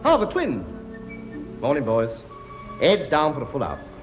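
A man's voice: medium pitch, wildly swooping pitch, unhurried, lo-fi sound, loud music behind the voice. A blustering, wisecracking king. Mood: bossy. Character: bossy joking middle-aged king with many children. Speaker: comic actor. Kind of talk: live comedy sketch.

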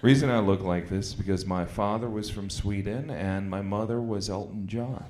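A man's voice: on the low side, normal speed. Self-mocking comedian man in an echoey club. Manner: deadpan, self-mocking jokes with acted-out quotes. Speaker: stand-up comedian. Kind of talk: stand-up routine. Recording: echoey, noisy club recording.

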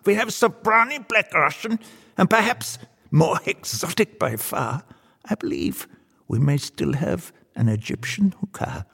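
Posh-mannered fawning devil, highish gravelly voice, theatrical, big hall reverb. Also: male voice, lively intonation, unhurried, fairly bright tone, exaggerated voice. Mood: indignant, grandly obliging. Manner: pompous, plummy, exaggerated courtesy. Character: suave, fawning old devil playing luxury host.

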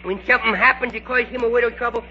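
Bratty little boy, childish grammar, high pitch, old radio comedy, muffled noisy recording. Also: fairly even intonation, racing. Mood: cheeky. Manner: cheeky baby talk with childish grammar. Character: mischievous, bratty little boy riding a train with grandma.

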